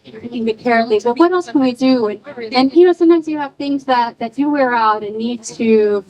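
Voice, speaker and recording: A woman's voice: on the high side, a little fast, darkish tone, low-quality recording. A woman moderating a panel, asking long, rambling questions with many restarts.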